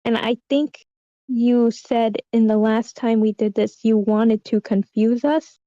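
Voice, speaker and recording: Woman, high voice, mellow and dark tone, tentative giggly casual critique, lo-fi online call. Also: fairly even intonation, slow, no room sound. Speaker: table-read participant giving script feedback. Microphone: online meeting call. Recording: dull lo-fi online meeting audio.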